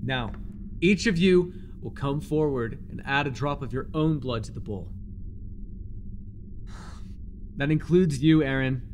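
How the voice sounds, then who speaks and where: A man playing a fanatical, desperate cult leader devoted to a dark master, theatrical and intense, in an immersive audio roleplay. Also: mid-range voice, moderate pace, slight background noise, lightly acted. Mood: tense, commanding. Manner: theatrical, intense, stammering when shaken.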